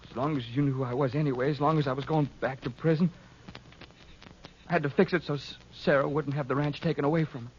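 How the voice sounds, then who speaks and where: Bitter, higher-voiced ranch man acted by a man. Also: steady tone, lightly acted. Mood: sullen. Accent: American. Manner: halting, aggrieved. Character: bitter, put-upon rancher and ex-convict, stubborn. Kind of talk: 1950s radio drama acting. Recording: muffled 1950s radio recording, faint noise.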